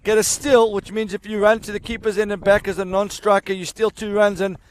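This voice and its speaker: A man's voice: high voice, flat delivery, fairly quick, slight background noise. A man giving chatty, explanatory live commentary on an amateur cricket match. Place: outdoors at a cricket ground.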